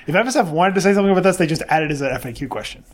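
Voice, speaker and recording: A man's voice: on the high side, animated, very fast. A man arguing casually on a podcast in an insistent, emphatic way, often repeating himself to press his point. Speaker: nonprofit director and podcast co-host. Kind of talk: casual debate, opinionated discussion.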